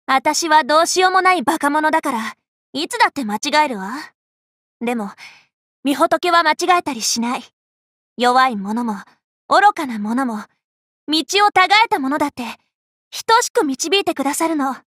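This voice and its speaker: A young female Buddhist monk master from an anime game, with a very high, bright voice that leaps around in pitch. She is cheerful, bossy and a little scatterbrained. Her delivery is childlike and boastful, full of exclamations. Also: expressive, normal speed, no echo, studio quality. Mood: earnest. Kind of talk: Japanese anime game character voice lines.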